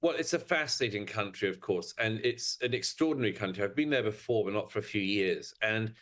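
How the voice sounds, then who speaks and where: A male commentator giving measured, emphatic opinions with hesitant restarts. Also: on the low side, fairly bright tone. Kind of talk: interview commentary.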